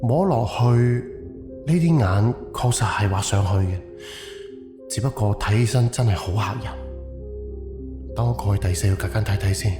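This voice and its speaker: A man's voice: fairly low pitch, moderate pace, long pauses, close to an everyday voice. A man playing a wary, analytical haunted-house investigator thinking aloud in an audio drama, with a musing, questioning delivery. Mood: puzzled, wary. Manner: musing, questioning, muttered self-talk. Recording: echoey reverb, music underneath. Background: background music.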